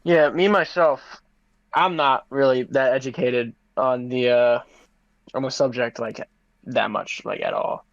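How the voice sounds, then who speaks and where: A man's voice: mid-range voice, steady tone, a little slow, dry recording. A young man hosting a podcast, laid-back and casual, often saying "like". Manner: laid-back, heavy use of fillers like "like", admits knowing little. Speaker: podcast host. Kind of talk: casual chat. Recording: dull, muffled dry mic.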